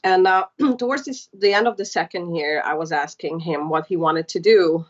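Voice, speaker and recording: Guest spot: Hungarian-born woman in her thirties, low-set voice, chatty storyteller, muffled lo-fi line. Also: moderate pace. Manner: chatty, warm storytelling with many fillers. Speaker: podcast guest. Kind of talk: casual interview chat.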